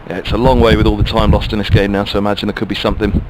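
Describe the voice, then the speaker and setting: A man's voice: fairly low pitch, little pitch movement, rapid-fire, background noise. A man giving ball-by-ball radio cricket commentary, musing chattily between deliveries.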